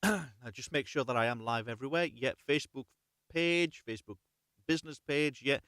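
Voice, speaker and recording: A man's voice: medium pitch, normal speed, a bit bright, dry recording, studio quality. A man hosting a live story reading, chatting casually and reading unrehearsed in a rambling, self-correcting way. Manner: rambling, self-correcting, apologetic about slips.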